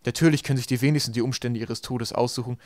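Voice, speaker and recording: A man's voice: medium pitch, steady tone, fast talker, a little mellow, dry recording, studio quality. A man reading scripted audiobook narration as the storyteller.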